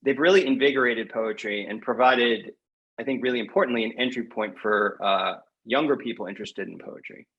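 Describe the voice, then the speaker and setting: A man's voice: mid-range voice, fairly quick, muffled recording. A man speaking as a guest poet in a podcast interview, thoughtful and emphatic, often restating a point for weight.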